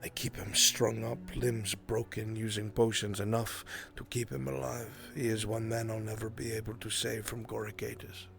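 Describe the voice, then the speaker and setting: A man in live roleplay voicing a grim, pleading man bent on revenge, speaking in solemn, deliberate, formal phrases. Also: lowish voice, flat delivery, vocal fry, a bit bright. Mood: grim, haunted. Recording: music under the voice. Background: music.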